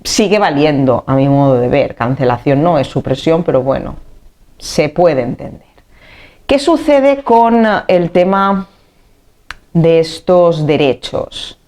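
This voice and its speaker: Casting: female teacher, accent from Spain, low-pitched soft-toned voice, informal lecture delivery, didactic and emphatic on key points. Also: animated, slow pace. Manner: didactic, emphatic on key points, checks understanding with tag questions. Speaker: teacher for civil service exam candidates. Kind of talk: informal explanatory video lecture on law.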